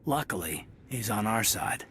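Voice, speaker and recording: A man acting a serious soldier in a video game, measured and earnest, over faint ambience. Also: on the low side, animated, a bit bright, slight background noise. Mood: grave. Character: serious, low-key male soldier.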